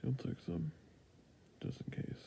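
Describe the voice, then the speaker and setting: A man playing a friendly, patient fantasy shopkeeper in an ASMR roleplay, speaking in a soft, breathy near-whisper with creaky endings and a gentle sales manner. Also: measured pace, natural voice. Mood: soft, helpful.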